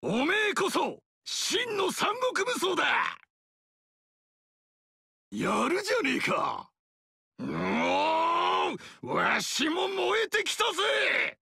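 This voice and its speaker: A boisterous barbarian king roars his boasts and battle cries. His voice is high, gravelly and bright, and it swings wildly up and down. The video game recording is dry and close. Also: wildly swooping pitch, very slow, no echo, exaggerated voice. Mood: hearty praise. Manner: gruff rough-speech shouting battle cries. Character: boisterous burly barbarian king, brash and loud.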